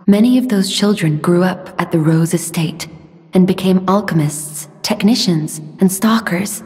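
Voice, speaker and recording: An actress playing a gentle, sorrowful young mystical woman in a video game, speaking softly and breathily in a solemn, earnest, measured way. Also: on the low side, lightly acted. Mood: calm, concerned. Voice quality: soft, breathy, airy. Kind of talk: dramatic video game voice acting. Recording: long reverb, music behind the voice.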